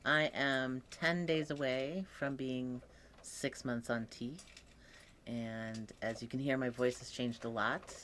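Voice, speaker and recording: Young man, unhurried and reflective, voice that sometimes croaks, casual vlog talk. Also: medium pitch, steady tone, fairly bright tone, a little hiss or hum. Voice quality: occasionally croaky voice. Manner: slow, thoughtful, with many pauses and fillers. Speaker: vlogger. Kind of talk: casual personal update.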